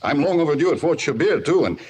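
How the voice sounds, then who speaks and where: An actor playing a genial, cautious captain in an old-time radio adventure, speaking in a musing, wry, conversational way. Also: male voice, on the high side, expressive, fast talker, a little mellow, breathy edge. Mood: wry, concerned. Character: genial, cautious adult uniformed captain, hero's friend. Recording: noisy vintage radio recording.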